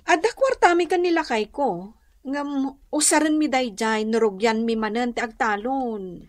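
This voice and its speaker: A woman's voice: fairly high pitch, measured pace, natural voice. A woman playing a practical, gossipy, opinionated village cousin in a radio comedy drama, emphatic, with a Northern Luzon Filipino accent. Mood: reluctant, wary.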